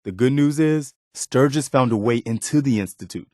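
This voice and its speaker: An actor playing an earnest, steadfast militia officer companion in a post-apocalyptic video game, speaking sincerely and plainly with a folksy, dutiful manner. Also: male voice, mid-range voice, normal speed, darkish tone, dry recording, studio quality, natural voice. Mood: earnest briefing.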